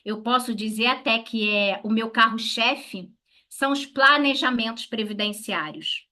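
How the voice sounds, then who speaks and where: Bright-voiced Brazilian woman teaching. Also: on the high side, a little slow. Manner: didactic, asking and answering own questions. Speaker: lawyer teaching a class. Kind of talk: instructional talk to colleagues.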